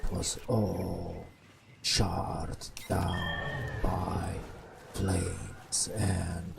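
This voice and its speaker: A man telling a bedtime story in an ASMR style, in a hushed breathy whisper with drawn-out phrases and long pauses. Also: little pitch movement, loud music behind the voice. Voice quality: breathy whisper with creaky low murmur. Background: video game ambience.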